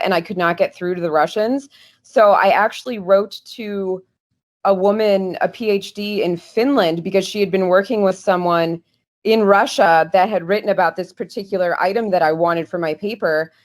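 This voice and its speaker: A woman's voice: medium pitch, normal speed. A woman talking as a guest historian on a podcast, earnest and explanatory, with small restarts. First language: English.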